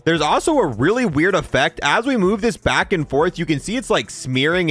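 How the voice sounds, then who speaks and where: A man's voice: on the high side, a bit bright. A man giving casual, informal video game review commentary, thinking aloud as he goes. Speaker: gaming video host and reviewer.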